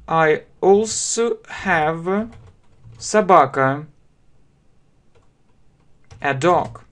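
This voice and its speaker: A man in his thirties with a Russian accent, teaching a language lesson very slowly, spelling out phrases word by word and repeating them at a desk webcam. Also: on the high side, expressive. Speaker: English teacher. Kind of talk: slow step-by-step language lesson. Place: small room indoors.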